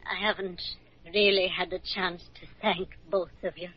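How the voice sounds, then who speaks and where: Grateful elderly cleaning woman, husky, hesitant, polite, vintage radio drama, dull old recording. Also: on the low side, level tone, moderate pace. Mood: humble. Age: older. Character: grateful, humble grandmother, office cleaner. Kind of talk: 1940s radio acting.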